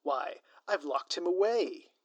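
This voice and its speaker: A man's voice: high-pitched, lively intonation, takes it slowly, no echo, highs cut off, exaggerated voice. A man playing a gleefully scheming, grandiose supervillain in an audio roleplay, pompous and taunting with florid, melodramatic delivery. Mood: gloating, taunting.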